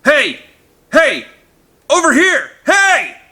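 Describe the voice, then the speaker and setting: A man's voice: extremely high pitch, wildly swooping pitch, very slow, pitched above the performer's own voice, heavily acted. A man playing a hollering desperate dad. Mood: yelling. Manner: hollering loudly. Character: desperate father, yelling defiantly.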